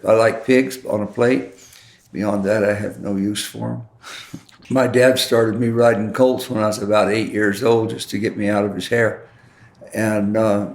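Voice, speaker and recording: A man from Colorado with a rough, gravelly voice tells casual stories with dry humor. His voice sits a little low. The room around him is roomy and echoey. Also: a little mellow. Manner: dry humor, rural Western turns of phrase. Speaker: guest, horseman and poet. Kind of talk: casual storytelling.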